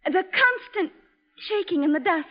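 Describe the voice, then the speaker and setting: A woman's voice: very high voice, lively intonation, unhurried. An actress playing a sweet, sentimental, proper young lady in a 1940s American radio drama. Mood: shy, polite. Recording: muffled old radio recording.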